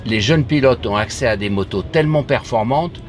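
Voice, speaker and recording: A man's voice: medium pitch, a little fast, soft background music. An older man interviewing a guest, articulate and building long explanatory sentences. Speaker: interviewer, motorsport journalist. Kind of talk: conversational interview. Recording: audible background noise.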